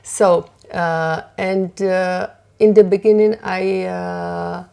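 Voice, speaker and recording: Slow, gravelly Slovak woman, halting English. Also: fairly low pitch, fairly even intonation, mellow. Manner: halting, many fillers and pauses, simple English. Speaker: fashion designer and author. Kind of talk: community TV interview.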